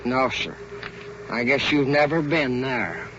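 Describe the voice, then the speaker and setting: A drunk man from Arkansas answering police questions in a halting, rambling way, repeating himself. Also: medium pitch, animated, pushed and bright. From Arkansas, United States. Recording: muffled, noisy old field radio recording.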